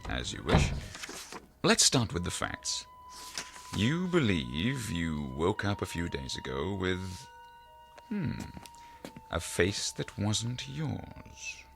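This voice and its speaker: Deep, gravelly, probing psychiatrist. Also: male voice, wildly swooping pitch, background noise, full character voice. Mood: calm, probing. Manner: deliberate, formal, professorial. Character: measured, probing, learned male psychiatrist. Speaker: actor in a radio drama. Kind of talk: audio drama acting.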